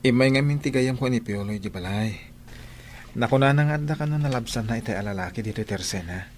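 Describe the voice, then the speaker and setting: A man's voice: mid-range voice, fast talker, slight background noise, close to an everyday voice. A man playing a lovesick, reluctant young bachelor in a radio drama, with an Ilocano accent. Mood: sheepish. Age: young adult. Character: lovesick young man, sulky hard-drinking older brother.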